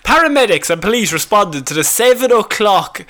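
An Irish man with a high, bright voice co-hosts a comedy podcast. His delivery swings around a lot as he teases, swears and reads out silly news in a casual, chatty way. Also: sing-song, normal speed. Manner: sweary, prone to laughing, teasing. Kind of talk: casual comic banter and reading out odd news.

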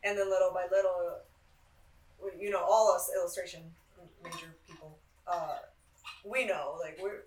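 A woman's voice: on the low side, steady tone, normal speed, darkish tone. A woman of twenty-five, an art student, chatting casually in an interview and laughing often between rambling answers. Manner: giggly, rambling with frequent laughs. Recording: roomy sound from a distant mic.

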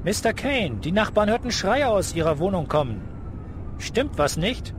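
Actor as high-voiced, nosy policeman. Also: sing-song, normal speed, background noise. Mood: probing, official. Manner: official, pointedly questioning. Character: brisk, nosy, sardonic male police officer. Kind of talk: German audio drama acting.

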